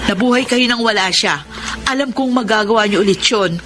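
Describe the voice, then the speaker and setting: A woman's voice: medium pitch, a little fast, noisy background, natural voice. An actress playing an indignant, worried grown child pleading with a father, insistent, in a Tagalog radio drama. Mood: pleading, hurt.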